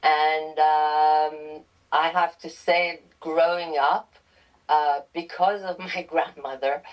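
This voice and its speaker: A woman's voice: sits low, fairly even intonation, poor audio. A woman from Iran, a guest author, answering interview questions thoughtfully with frequent ums. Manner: slow, thoughtful, many ums.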